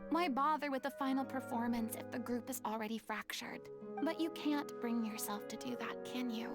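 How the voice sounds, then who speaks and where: Woman acting high-voiced commanding young female stage star. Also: moderate pace, lightly acted. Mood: earnest, firm. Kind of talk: scripted video game dialogue. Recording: game music behind the voice.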